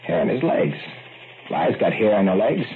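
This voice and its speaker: Gravelly American workman, lowish voice, halting folksy grammar, eerie and boastful, muffled vintage radio drama. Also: very animated, a little hiss or hum. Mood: explaining. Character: eerie, boastful small-town plumber's hand raising monstrous fly. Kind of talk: old-time American radio drama acting. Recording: muffled, narrow-band vintage radio recording.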